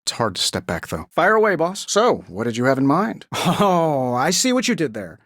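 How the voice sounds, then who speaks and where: An actor voicing a cocky, wisecracking genius inventor superhero in a video game, with an American accent and a sarcastic, glib delivery. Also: male voice, fairly high pitch, wildly swooping pitch, normal speed, a bit bright, dry recording, studio quality, heavily acted. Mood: playful, wry. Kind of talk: video game character voice lines.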